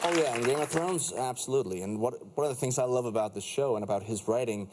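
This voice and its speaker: A man's voice: medium pitch, over a noisy crowd, heavy background noise. A man whose first language is Danish, an actor guest on a talk show, chatting casually with frequent restarts. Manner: rambling, halting restarts. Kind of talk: casual chat.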